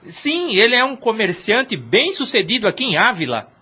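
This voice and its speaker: Woman acting helpful front-desk clerk, sharp bright tone, raspy, radio play. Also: on the high side, sing-song, normal speed, heavily acted. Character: obliging hotel receptionist. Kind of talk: Spiritist radio drama acting.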